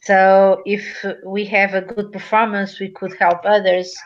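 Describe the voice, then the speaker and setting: A woman's voice: mid-range voice, flat delivery, a little slow. A young woman from Portugal, speaking English with a Portuguese accent, hesitant and apologetic as she searches for words in a class discussion. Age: young adult. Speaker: student in a discussion group. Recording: dull lo-fi audio.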